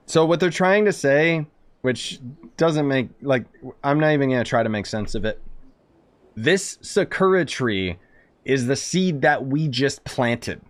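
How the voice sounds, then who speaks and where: A man's voice: medium pitch, lively intonation, a little slow. A man doing casual, sarcastic gameplay commentary, rambling over cutscenes. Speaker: gaming streamer.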